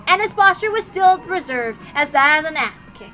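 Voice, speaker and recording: High, bright woman reading dramatically. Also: moderate pace, faint music behind, low-quality recording. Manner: expressive. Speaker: narrator, reader. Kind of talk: dramatic visual novel read-aloud.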